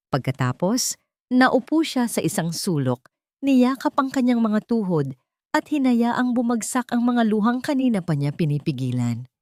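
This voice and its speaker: A woman's voice: mid-range voice, darkish tone, no echo, clean studio sound. A woman reading a scripted dramatic story as an audiobook narrator.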